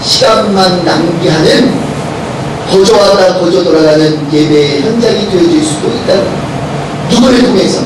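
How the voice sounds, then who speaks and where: Hoarse man, senior pastor: loud and preachy, throws questions at the pews. High-pitched and booming through a very echoey sanctuary, heard from a distance. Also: normal speed. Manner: preaching loudly with rising intensity, asks the congregation questions. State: hoarse from a cold. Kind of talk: sermon and closing prayer. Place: church sanctuary. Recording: very echoey church hall recorded from a distance with noise.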